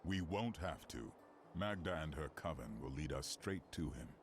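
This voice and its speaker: An actor playing a solemn, noble fallen archangel of justice in a video game, speaking gravely and formally. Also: male voice, extremely low pitch, expressive, moderate pace. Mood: grave, commanding. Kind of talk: dramatic video game voice acting. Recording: roomy echo, clear background noise.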